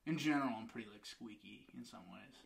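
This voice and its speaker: A man's voice: mid-range voice, fast, vocal fry. A young man hosting a casual podcast chat, rambling and laughing often. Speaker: podcast host.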